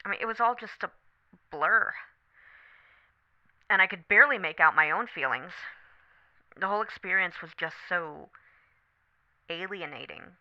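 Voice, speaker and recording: A woman playing a supportive, candid best friend on a late-night call in an ASMR roleplay, talking casually, gently and thoughtfully. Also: on the low side, moderate pace, long pauses, muffled recording, lightly acted. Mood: reflective, vulnerable. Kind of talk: scripted ASMR roleplay, one side of a phone conversation.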